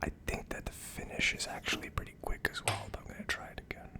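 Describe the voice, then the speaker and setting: An ASMR host of unclear sex speaks entirely in a whisper. The delivery is casual and unscripted, like someone thinking aloud. It comes across as tentative and reflective. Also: quick pace. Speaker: host of a whiskey tasting series.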